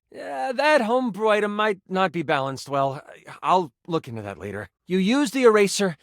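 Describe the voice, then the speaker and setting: Game master for a video game: higher-pitched, very animated, eager and geeky, dramatic fantasy narration. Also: male voice, no echo, studio quality, exaggerated voice. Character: excitable, encouraging male tabletop game master, a personified die. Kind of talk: dramatic tabletop fantasy narration with playful asides.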